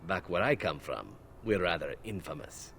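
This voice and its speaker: An actor voicing a charming, glib male elf assassin in a video game, smooth and playfully teasing. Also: low-pitched, animated, moderate pace, fairly bright tone, exaggerated voice. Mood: sly. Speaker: game character.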